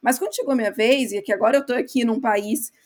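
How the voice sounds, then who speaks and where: A woman from Brazil talking casually in a slightly high voice. She thinks out loud in long, winding sentences and sounds reflective. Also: fast talker. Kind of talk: casual chat.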